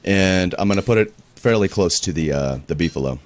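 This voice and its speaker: A man with a deep voice giving casual, rambling commentary as he plays a game, often stopping to search for words. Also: animated, a little fast. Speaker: gaming YouTuber. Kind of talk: casual let's-play commentary.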